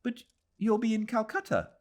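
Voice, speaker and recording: Man as an eager, high-voiced young Englishwoman. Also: big pitch swings, normal speed, a little mellow. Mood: surprised. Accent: British.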